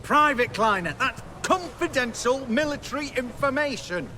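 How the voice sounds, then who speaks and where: Shrill, officious British lance corporal. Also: male voice, expressive, normal speed, pushed and bright, a little hiss or hum, full character voice. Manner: stiff, pompous, barking. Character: officious, pompous, rule-bound low-ranking soldier man. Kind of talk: video game voice acting.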